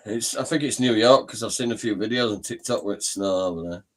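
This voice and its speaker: A man's voice: fairly low pitch, fast talker. A man with a Northern English accent, chatting casually in a blunt, grumbling way. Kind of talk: casual chat, anecdotes.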